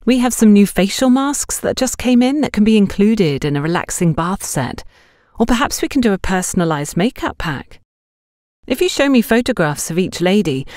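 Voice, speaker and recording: A woman's voice: mid-range voice, a little mellow, dry recording, studio quality, pitched above the performer's own voice, lightly acted. Woman acting a polite, eager young shop girl. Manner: polite, deferential.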